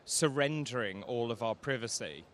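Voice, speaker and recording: Brief: male interviewer, bright sharp tone, eager questions at a trade show stand. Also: medium pitch, expressive, normal speed. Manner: enthusiastic, inquisitive.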